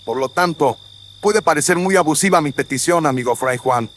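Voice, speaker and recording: A man's voice: on the high side, fairly bright tone, voice pushed up, close to an everyday voice. Man playing a courtly, authoritative colonial viceroy. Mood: grave, courteous. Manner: formal, measured, courtly. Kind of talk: audio drama acting. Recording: faint noise with crickets and insects.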